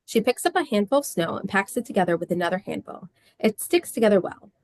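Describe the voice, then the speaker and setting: A woman's voice: medium pitch, animated, fast, a little mellow, no echo. Woman narrating a script, dry sound. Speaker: stage-direction narrator. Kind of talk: scripted narration at a table read.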